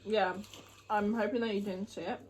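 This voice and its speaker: A woman's voice: medium pitch, fairly even intonation, moderate pace, a little hiss or hum. A young woman chatting casually with her mother on camera, teasing her and laughing often. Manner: teasing, playful, laughs a lot. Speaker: vlogger's daughter, co-host. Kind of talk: casual chat while eating.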